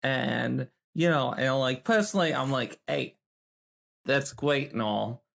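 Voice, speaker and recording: Halting, gravelly-edged man at game table. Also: mid-range voice, fairly bright tone. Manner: halting, rambling. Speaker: player. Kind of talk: casual in-character tabletop role-play.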